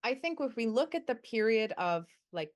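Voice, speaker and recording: A young adult woman answering interview questions as a researcher, thoughtful and measured, sometimes correcting herself. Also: on the high side, very animated. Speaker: guest researcher.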